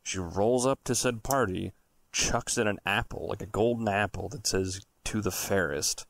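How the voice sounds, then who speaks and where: A man's voice: fairly low pitch, moderate pace, creaky, a bit bright. A man co-hosting a podcast, chatting and telling stories casually in a blunt, sweary, emphatic way. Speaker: podcast co-host. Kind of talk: casual banter and storytelling.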